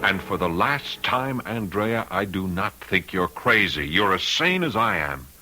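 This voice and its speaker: A man's voice: low-pitched, very animated, measured pace, music in the background. An actor playing an earnest, protective man in an old-time radio mystery, speaking in an insistent, emphatic way. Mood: grave, urgent. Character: earnest, urgent, protective male confidant.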